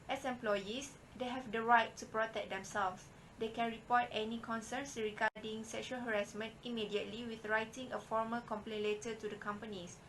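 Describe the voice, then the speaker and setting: A woman's voice: fairly high pitch, fairly bright tone, a little hiss or hum, low-quality recording. A young woman with a Malaysian English accent steadily reading a prepared class presentation. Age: young adult. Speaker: student presenter.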